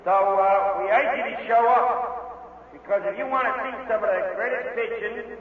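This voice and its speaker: A man's voice: sits high, normal speed, phone-quality sound. A man, a baseball manager, making a public plug for a game. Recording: echoing hall, distant, thin noisy radio.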